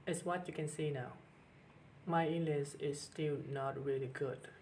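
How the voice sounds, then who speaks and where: A middle-aged man with a Vietnamese accent, speaking earnestly to camera as a teacher with many pauses and restarts. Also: fairly high pitch, fairly even intonation, a little mellow, slight background noise. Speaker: teacher and channel host. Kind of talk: personal talk to camera.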